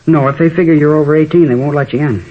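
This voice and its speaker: An actor playing a troubled, hesitant high school boy who cooperates as a witness in a 1950s radio drama. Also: steady tone, fast, close to an everyday voice. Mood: forthcoming. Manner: hesitant, slangy. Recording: muffled vintage radio recording.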